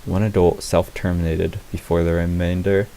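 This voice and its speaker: A man giving a deadpan, clinical and formal narration of a scripted fictional report. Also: low-pitched, moderate pace. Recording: faint hiss behind the voice.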